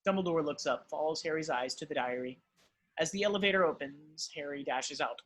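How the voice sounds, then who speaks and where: A man's voice: a little high, fairly quick, a bit bright, poor audio. A man reading screenplay stage directions as dramatic scripted narration.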